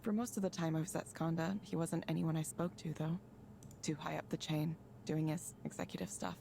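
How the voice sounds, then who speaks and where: An actress playing a reserved, modest former engineer in a video game, speaking in an understated, guarded way. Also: female voice, sits low, fairly even intonation, fairly quick, gravelly, warm tone, a little hiss or hum.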